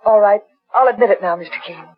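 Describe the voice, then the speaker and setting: A woman's voice: mid-range voice, fast talker, slightly rough, natural voice. An actress playing a remorseful, defensive woman under suspicion, earnest and dramatic, in 1940s radio melodrama. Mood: defensive confession. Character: remorseful, defensive young woman suspected of murder. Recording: muffled, dull 1940s radio recording.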